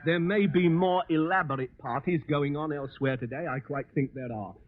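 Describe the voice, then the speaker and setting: London guy doing a 1940s radio speech, bit high voice, thin tinny sound, crowd behind. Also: moderate pace, noisy background. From London, England. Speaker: shelter spokesman. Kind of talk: 1940s radio broadcast, scripted address. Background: crowd in underground shelter.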